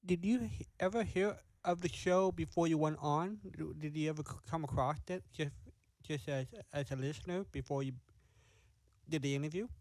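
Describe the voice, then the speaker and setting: Higher-voiced man interviewing informally. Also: normal speed, a little mellow, poor audio. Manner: stumbling, rewording questions as he goes. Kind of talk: informal, unscripted interview questions.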